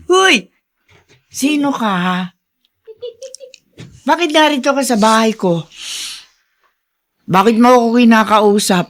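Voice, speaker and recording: An actress playing a suspicious, confused, cranky old village woman in a radio drama, with querulous questioning. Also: mid-range voice. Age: older.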